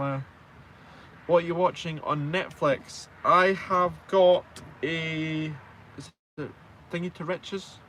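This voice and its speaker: A man's voice: fairly high pitch, a bit bright, slight background noise. A man in his twenties with a Scottish accent, chatting casually and informally on a live stream and answering viewers with self-deprecating banter. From Scotland. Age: 20s. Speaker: truck driver and live stream host.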